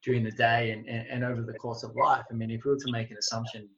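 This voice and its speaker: A man's voice: mid-range voice, little pitch movement, fast talker, fairly bright tone. A man hosting a podcast, asking a guest questions in an encouraging, affirming and curious way. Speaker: podcast host. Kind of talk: casual interview questions. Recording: dull lo-fi sound with faint noise.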